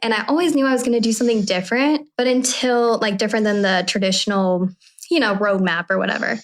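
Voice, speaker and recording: A woman's voice: fairly high pitch, quick pace. A woman in her early thirties hosting a podcast, talking candidly and at length, with restarts and the odd swear. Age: 30s. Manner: candid, animated, rambling, with swearing. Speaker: podcast host. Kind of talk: casual podcast conversation.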